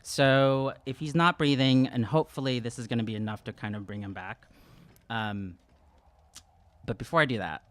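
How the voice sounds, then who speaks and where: A man's voice: mid-range voice, steady tone, moderate pace, a bit bright, dry recording. A man in his thirties improvising his moves as a player in a casual tabletop roleplaying session, hesitant and thinking aloud at a close studio microphone. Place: small podcast studio. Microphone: close podcast microphone on boom arm.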